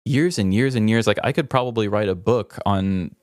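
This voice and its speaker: Guy podcast cohost, kinda deep voice, no room echo, earnest n reflective, just chatting openly. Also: normal speed, darkish tone, clean tone, clean studio sound. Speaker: podcast co-host. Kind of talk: casual podcast chat.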